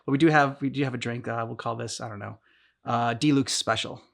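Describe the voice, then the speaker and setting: A woman's voice: rumbling low, steady tone, fairly quick, vocal fry. A woman chatting casually as she hosts a reaction video.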